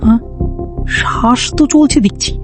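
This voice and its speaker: A woman's voice: moderate pace, over music, lightly acted. A woman voicing a kindly, concerned village woodcutter in a folk tale, full of exclamations and questions. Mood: puzzled, concerned. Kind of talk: acted folk-tale storytelling.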